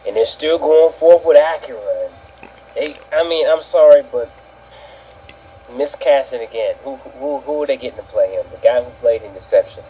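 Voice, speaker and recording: A man's voice: medium pitch, moderate pace, mellow, a little hiss or hum, poor audio. A man co-hosting a casual opinion show, ranting indignantly and swearing as he goes. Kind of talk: casual opinion rant with a co-host.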